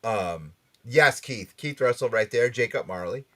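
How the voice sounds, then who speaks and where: A man's voice: medium pitch, animated, unhurried, a bit bright. A middle-aged or older American man hosting a casual pop culture talk show, chatty and emphatic. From Norwalk, Connecticut, USA. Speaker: radio and podcast show host.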